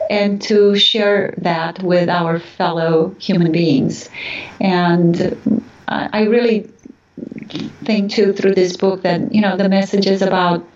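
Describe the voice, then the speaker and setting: A woman's voice: mid-range voice, darkish tone, slight background noise. A woman from Romania giving earnest, reflective answers as an interview guest, often searching for her words, in an echoey, distant recording. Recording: echoey, distant, dull sound.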